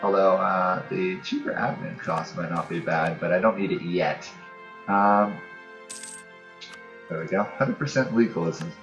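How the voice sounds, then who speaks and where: Low-voiced man musing over a game, roomy sound. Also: a little slow, fairly bright tone. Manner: thinking aloud, musing. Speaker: host of a gaming channel playing a strategy game. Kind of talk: casual let's-play commentary. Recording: dull, roomy home recording. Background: game music.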